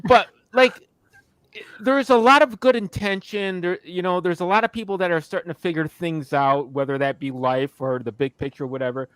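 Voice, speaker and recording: A man's voice: high voice, normal speed, a bit bright, clean tone, no echo, rough recording. A man in casual podcast chat, rambling as he searches for his question, with casual swearing.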